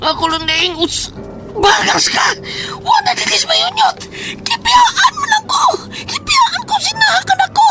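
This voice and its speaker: Man acting a high-pitched, whiny, frantic coward. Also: measured pace, music in the background, full character voice. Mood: panicked, then wailing. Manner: whining, exclamatory, excitable. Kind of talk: comic horror audio drama acting. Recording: noisy amateur audio drama recording.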